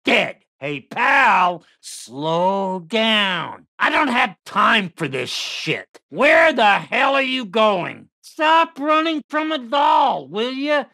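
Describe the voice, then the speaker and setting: A woman's voice: fairly high pitch, big pitch swings, drawn-out words, few pauses, bright, forceful tone, heavily acted. A woman voicing a foul-mouthed, murderous living doll in a video game, mocking and aggressive. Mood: aggressive taunting. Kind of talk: shouted video game villain taunts.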